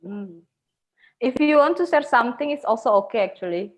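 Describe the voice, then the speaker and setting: A woman's voice: a little high, lively intonation, brisk. A woman with an Indonesian accent casually hosting a conversation and inviting guests to speak. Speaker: host. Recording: dull lo-fi online call audio.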